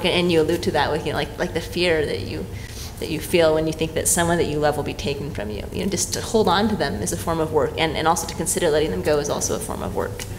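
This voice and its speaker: A man on a conference panel, speaking in a thoughtful, meandering way, with many fillers. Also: on the high side. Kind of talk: panel discussion. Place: hotel conference room. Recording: long hall reverb, faint noise.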